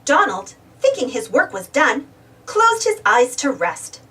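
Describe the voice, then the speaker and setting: A woman reading a children's storybook aloud in an animated narration style. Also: high-pitched, unhurried. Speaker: storybook reader. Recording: slightly distant mic, music bed. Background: music.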